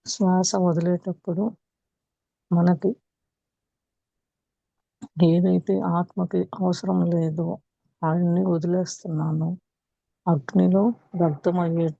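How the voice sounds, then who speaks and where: A woman with a Telugu accent leading a guided meditation as a teacher, calm and unhurried, with long pauses. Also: lowish voice, vocal fry. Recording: muffled, echoey room recording.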